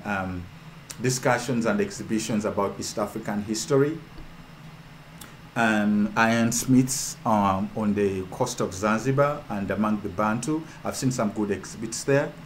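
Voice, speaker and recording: Low-voiced man from Uganda, impassioned casual host, lots of repetition and ums, faint ambience. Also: a little mellow, slight background noise. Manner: fervent, rhetorical, repeats phrases, trails off with ums. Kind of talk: casual talk to an online audience.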